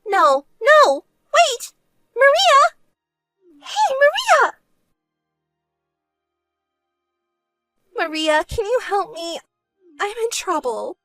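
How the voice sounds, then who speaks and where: A woman's voice: very high-pitched, big pitch swings, measured pace, creaky, no room sound, clean studio sound, soft background music, voice pushed up, full character voice. A woman voicing a spoiled, selfish younger sister in a dramatized story, whiny, melodramatic and pleading. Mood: desperate pleading.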